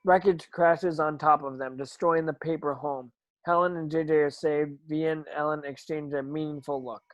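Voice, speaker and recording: A man reading stage directions at an amateur table read, halting and stumbling over words as he narrates. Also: a little high, fairly even intonation, dry recording, lo-fi sound.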